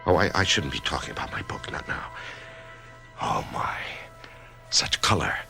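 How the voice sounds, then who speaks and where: An actor playing an author who narrates his own death in a whispery, hushed voice, stammering with wonder, in a radio drama. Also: a bass voice, sing-song, moderate pace, gravelly, soft background music, exaggerated voice. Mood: awed. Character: dying male author, awed, curious. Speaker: narrator and lead.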